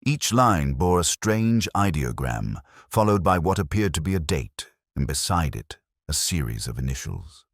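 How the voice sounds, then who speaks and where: A man narrating a scripted story in a measured, dramatic, atmospheric way. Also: a bass voice, sing-song, dry recording, studio quality. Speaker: audiobook narrator.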